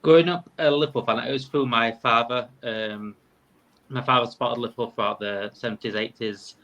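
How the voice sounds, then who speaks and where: A man's voice: medium pitch, fairly even intonation, fairly quick, fairly bright tone, dull audio. A man in his thirties with a Yorkshire accent, talking casually as a guest in a conversation. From Yorkshire, England. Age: 30s. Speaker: podcast guest, fan podcaster.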